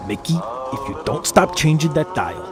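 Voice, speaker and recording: An actor playing an irritable, bossy crook in an audio drama, gruff and snappish. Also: male voice, fairly high pitch, very animated, normal speed. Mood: irritated. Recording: loud music behind.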